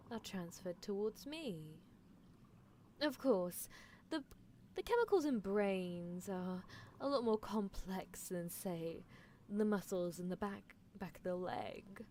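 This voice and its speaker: A woman acts out a possessive female mad scientist for an ASMR roleplay. She speaks slowly and theatrically at a medium pitch, coaxing and teasing with cool disregard for ethics. A faint noise sits behind the voice. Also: natural voice. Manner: languid, theatrical, coaxing, with soft chuckles. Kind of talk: live ASMR character roleplay.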